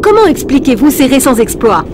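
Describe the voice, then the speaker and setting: A woman's voice: high voice, sing-song, fairly quick, background noise. An actress voicing an eager female TV news reporter in a French video game dub.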